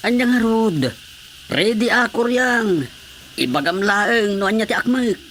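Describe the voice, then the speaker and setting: An actor playing a village officer, impatient but willing to help, coaxing in a radio drama comedy with a Filipino accent. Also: male voice, high-pitched, measured pace, a bit bright. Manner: coaxing, questioning. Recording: faint background noise.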